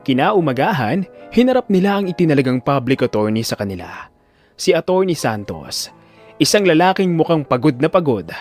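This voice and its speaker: A man narrating a scripted audio drama in a solemn, expressive, theatrical storytelling style. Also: on the high side, moderate pace, faint music behind.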